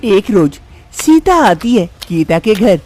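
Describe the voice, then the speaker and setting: A woman narrating a cartoon story in an even, steady manner. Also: mid-range voice, slow pace, faint music behind.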